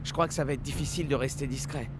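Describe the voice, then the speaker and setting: A man's voice: mid-range voice, steady tone, racing, noisy background, lightly acted. A man playing an eager, restless young football star in a cartoon dub, casual and enthusiastic. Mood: persuasive. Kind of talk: animated cartoon dub acting.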